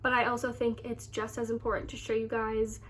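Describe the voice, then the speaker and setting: A young American woman in her early twenties talks casually to her viewers in a fairly high voice. She sounds a little stuffed up and nasal, as if with a sore throat, and rambles in a chatty vlog style. A faint noisy hum sits behind her. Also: monotone, fairly quick, fairly bright tone. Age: early 20s. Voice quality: slightly nasal, congested. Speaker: lifestyle vlogger.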